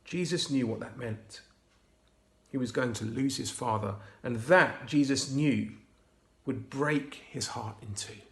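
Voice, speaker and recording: A man reading a Bible storybook aloud to children, expressive and often hushed. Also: medium pitch, a little slow, gravelly. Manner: hushed, expressive, dropping to whispers. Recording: roomy echo.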